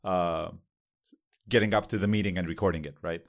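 Israeli man, low voice, halting chat. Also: fairly even intonation. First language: Hebrew. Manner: halting, many fillers and restarts. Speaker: podcast co-host. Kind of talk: casual podcast chat.

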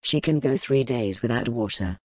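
A woman's voice: very deep voice, animated, normal speed, darkish tone, breathy edge, no room sound, pitched below the performer's own voice, exaggerated voice. A woman voicing a grim, loyal male retainer in an audiobook, blunt and matter-of-fact.

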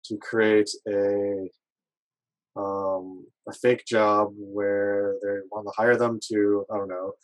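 A man's voice: lowish voice, little pitch movement, takes it slowly, no room sound, rough recording. A man playing a tabletop game over a call, rambling through plans with many fillers. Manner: rambling, hesitant, full of likes. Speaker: player. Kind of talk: casual chat while planning in a tabletop game.

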